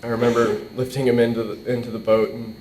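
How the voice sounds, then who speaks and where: A young man with a mid-pitched voice speaks informally at a memorial. He is choked with emotion and stumbles over repeated words. The room is echoey, with a faint rumble. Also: level tone, fast talker, darkish tone. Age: young adult. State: emotional, struggling to keep composure. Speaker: eulogist at a memorial, nephew of the deceased. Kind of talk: personal, informal eulogy. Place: indoor hall. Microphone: microphone on a boom stand. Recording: roomy echo, faint rumbling noise.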